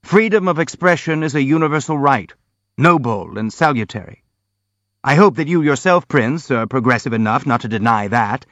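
A male narrator acts out a self-important boxer from a novel, eager to have his say. He speaks in grand, wordy, self-justifying phrases, lifted in pitch, on a slightly muffled recording. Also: lively intonation, normal speed, a bit bright, no echo. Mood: self-important, excited. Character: pompous, eager boxer justifying his own scandalous article. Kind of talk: audiobook character acting.

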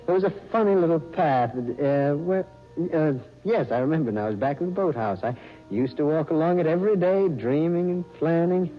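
A man playing a hesitant, courteous gentleman. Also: a little high, moderate pace, lightly acted. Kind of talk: 1940s radio acting. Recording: dull old radio recording with music.

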